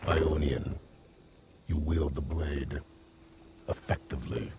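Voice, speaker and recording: An actor playing a grim, vengeful undead killer in a game, with a gravelly rasp and a terse, menacing delivery. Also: male voice, steady tone, takes it slowly, heavy background noise, exaggerated voice. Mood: guarded, cold. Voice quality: very deep gravelly rasp, at times breathy. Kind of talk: cinematic game acting.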